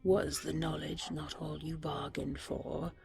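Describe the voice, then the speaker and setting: Low, mocking storybook ogre voiced by a woman. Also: flat delivery, takes it slowly, vocal fry, darkish tone, husky, full character voice. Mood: taunting. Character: taunting, menacing fairy-tale ogre.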